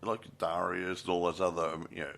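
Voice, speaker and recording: A man co-hosting a film podcast with a deep voice, offhand and jokey, trailing off his sentences. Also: completely flat delivery, fairly quick, gravelly, a bit bright. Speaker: podcast co-host. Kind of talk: casual chat.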